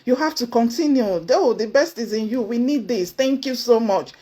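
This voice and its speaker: A woman with a Nigerian accent giving a motivational talk to camera, earnest and emphatic, with sudden high exclamations. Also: lively intonation, moderate pace, warm tone, slightly rough. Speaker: motivational speaker. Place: indoors, seated before camera.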